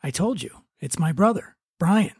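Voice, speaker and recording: Man reading a rueful, dry guy, gravelly. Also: high voice, lively intonation, normal speed, no room sound, heavily acted. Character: rueful, dry man haunted by his dead brother. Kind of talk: dramatic table read of TV pilot.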